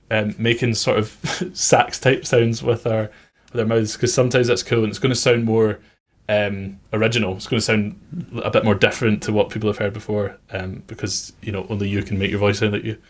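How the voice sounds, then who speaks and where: A man with a fairly low voice talks through a music production tutorial. He explains things informally and conversationally, like a producer showing how the work was done. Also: little pitch movement, fairly quick, hardly any pauses.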